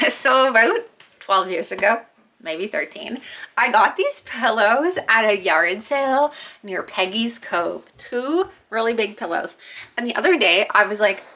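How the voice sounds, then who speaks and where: A woman's voice: on the high side, very animated, measured pace, bright, forceful tone. A woman in her thirties from Ontario, with a Canadian Maritime accent, telling stories in a casual vlog. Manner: chatty, laughs while telling stories. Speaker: family vlogger.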